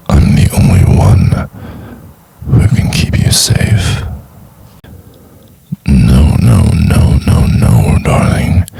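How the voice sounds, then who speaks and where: Male stalker lover for intimate ASMR roleplay, performed by a man: extremely low, husky whisper, possessive, menacingly tender, coaxing. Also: darkish tone, heavily acted. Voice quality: breathy, gravelly whisper. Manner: slow, deliberate, coaxing and condescending.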